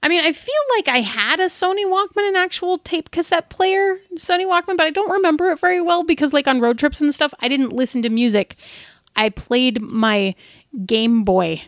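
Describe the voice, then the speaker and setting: A woman's voice: high voice, normal speed, no room sound, studio quality. A woman co-hosting a podcast with goofy, playful, casual banter. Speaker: podcast co-host.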